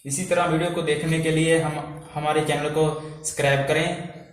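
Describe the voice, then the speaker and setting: A man's voice: on the high side, flat delivery, normal speed. A man presenting an informal hands-on repair tutorial, explaining step by step and repeating himself as he goes. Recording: very echoey room, faint background noise.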